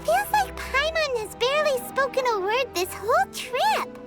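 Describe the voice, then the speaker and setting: A woman voicing a tiny, chirpy floating girl companion in a video game, with a high, squeaky voice and a quick way of chiming in. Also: big pitch swings. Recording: game audio with loud music behind.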